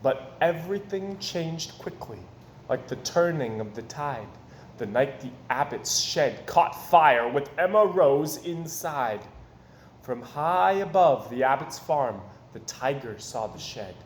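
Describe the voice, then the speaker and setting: A man reading a rhyming children's picture book aloud in a theatrical, expressive way. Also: fairly high pitch, slow pace. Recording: roomy echo, faint background noise.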